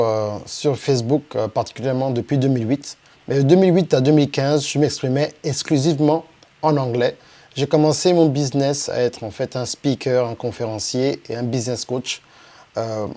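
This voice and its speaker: Middle-aged French man, mellow voice. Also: medium pitch. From France. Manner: frequent "en fait" fillers and hesitations. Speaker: business coach. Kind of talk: unscripted presentation monologue.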